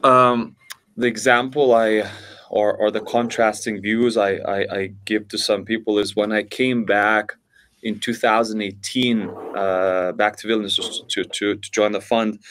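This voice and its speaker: Low-voiced man from Lithuania. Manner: fluent, many repeated starts and fillers. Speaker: podcast guest, venture investor. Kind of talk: conversational interview answers.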